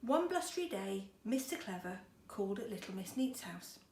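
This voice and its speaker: A woman's voice: mid-range voice, very animated, moderate pace, creaky, a little mellow. A woman schoolteacher reading a storybook to children expressively, with chatty, encouraging asides. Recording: roomy echo, faint background noise.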